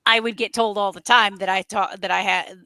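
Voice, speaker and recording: Bright-voiced chatty New England woman. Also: mid-range voice, fairly even intonation, a little fast, no echo. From New England, near the New Hampshire border. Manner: chatty, stumbles and restarts often. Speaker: co-host. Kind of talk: casual chat on a live stream.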